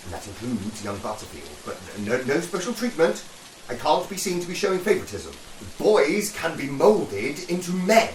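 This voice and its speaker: An actor playing an avuncular upper-class army officer in a wartime radio play, with a hearty, old-fashioned way of talking. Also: male voice, a little high, big pitch swings, normal speed. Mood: brisk, confiding. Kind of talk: period war radio drama acting. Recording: echoey, distant, loud rain noise.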